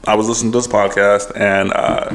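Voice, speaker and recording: A man's voice: fairly low pitch, fairly quick, slight background noise. A man co-hosting a podcast, talking casually and thinking aloud as he goes. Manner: relaxed, hedging, thinking aloud. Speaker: podcast co-host.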